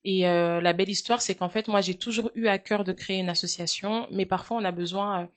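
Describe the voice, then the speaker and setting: A French woman in her thirties talks through an interview in an earnest, measured way. Her voice sits at an ordinary middle pitch, and she stops often on small hesitant fillers. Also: steady tone. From France. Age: 30s. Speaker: guest, charity founder. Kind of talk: interview answers.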